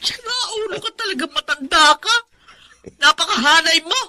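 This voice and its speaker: A woman's voice: very high-pitched, animated, moderate pace, bright, forceful tone, slight background noise, full character voice. A woman playing a resentful, self-pitying middle-aged aunt in a Filipino radio drama, nagging and melodramatic. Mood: tearful, sulky. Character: resentful, self-pitying older aunt who raised her nephew.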